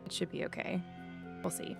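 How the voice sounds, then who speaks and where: A woman's voice: moderate pace, over music. A woman chats casually while live streaming a game, thinking aloud in a rambling, self-deprecating way.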